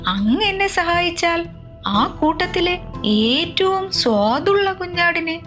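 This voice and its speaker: A woman's voice: extremely high pitch, sing-song, slow, over music, voice pushed up, heavily acted. A woman voicing a sly, greedy male fox out for revenge in a children's storybook tale. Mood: fawning, scheming.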